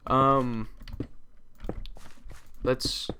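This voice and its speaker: A young man hosting a gaming channel, tired and a bit raspy, rambling casually to himself as he plays. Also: medium pitch, little pitch movement, very slow, bright, forceful tone. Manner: rambling, talks to himself while playing. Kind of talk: casual gameplay commentary. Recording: clear typing noise behind. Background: keyboard typing and clicks.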